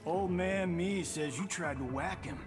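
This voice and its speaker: A young hotshot movie star with a fairly high voice. He tosses out slangy, flippant game banter like a show-off, over music. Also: a little slow. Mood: cocky, flippant.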